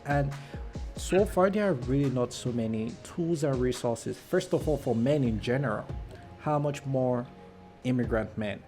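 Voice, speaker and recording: A man's voice: mid-range voice, darkish tone. Encouraging male podcast host. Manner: encouraging, effusive thanks. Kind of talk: casual interview talk.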